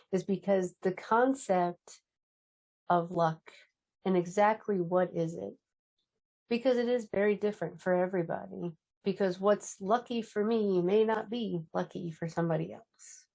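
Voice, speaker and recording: A woman's voice: mid-range voice, measured pace, creaky, a little mellow. A woman hosting a storytelling event over a video call, effusive and giggly as she thanks one teller and introduces the next. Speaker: event host.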